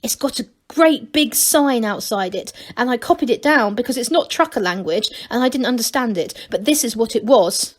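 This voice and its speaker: A woman voicing an excitable, boastful young male gnome adventurer who babbles with breathless enthusiasm. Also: medium pitch, moderate pace, few pauses, close to an everyday voice. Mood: excited.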